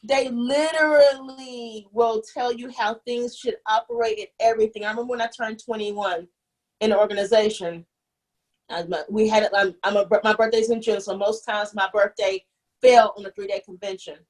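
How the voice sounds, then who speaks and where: Give me a young woman with a husky, slightly high voice recounting her past candidly in an interview. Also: normal speed, low-quality recording. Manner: animated, restarts often, acts out quoted voices. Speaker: guest. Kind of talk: candid conversational storytelling.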